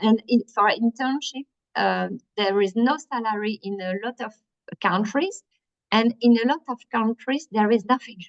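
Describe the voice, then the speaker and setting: A woman's voice: mid-range voice, lo-fi sound. A woman from France with a French accent, presenting at an online workshop with many fillers and restarts. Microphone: video call.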